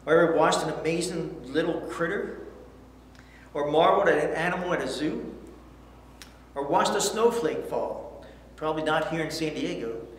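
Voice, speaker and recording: A middle-aged man teaching a Bible lesson to children, reading scripture aloud and asking questions in an earnest way. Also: a little high. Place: church studio set with backdrop, indoors. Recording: echoey hall, faint hiss.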